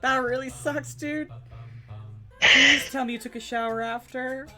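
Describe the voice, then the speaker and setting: A woman's voice: high-pitched, steady tone, measured pace. A woman hosting a livestream call-in show, talking bluntly and swearing freely as she reacts. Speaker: host. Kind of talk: casual livestream chat.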